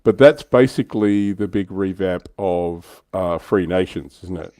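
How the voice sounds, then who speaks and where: A man's voice: low-pitched, measured pace, dark tone, no room sound, clean studio sound. A man hosting a livestream, chatting informally through a casual hobby discussion. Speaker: livestream host.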